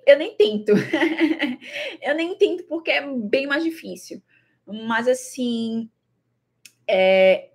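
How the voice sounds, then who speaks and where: Husky-voiced Brazilian woman. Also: on the high side. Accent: Brazilian Portuguese. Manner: thoughtful, repeats words, many fillers. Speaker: traveling vlogger. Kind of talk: casual talk to camera.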